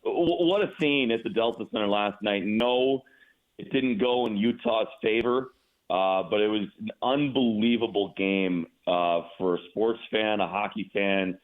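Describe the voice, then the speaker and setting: Low-voiced sportscaster on a phone-like line. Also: male voice. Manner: halting, repeats words for emphasis. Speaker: radio play-by-play announcer, guest. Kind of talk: casual sports radio interview commentary. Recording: thin, phone-like narrow-band line.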